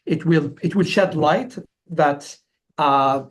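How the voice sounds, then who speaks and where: A man in his forties from Algeria answering as an interview guest, telling his story conversationally in a halting way, often repeating words and restarting. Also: fairly high pitch. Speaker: interview guest, company founder.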